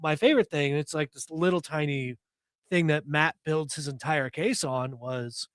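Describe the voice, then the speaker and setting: Male co-host, slightly high-pitched, earnest and analytical, dry mic. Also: normal speed, a bit bright, no room sound. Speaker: podcast co-host. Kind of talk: casual chat.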